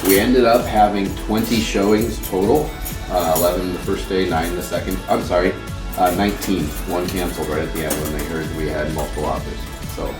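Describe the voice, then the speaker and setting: A man's voice: low-pitched, flat delivery, fairly quick. A man vlogging in a rambling casual chat, often laughing. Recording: echoey room, mic far off, loud music.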